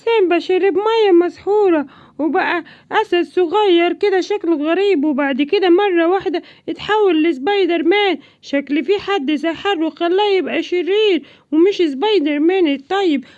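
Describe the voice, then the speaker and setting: An Egyptian woman voicing a panicky, chattering parrot who shouts warnings to the other animals in a cartoon. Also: extremely high pitch, moderate pace, no echo, clean studio sound. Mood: urgent.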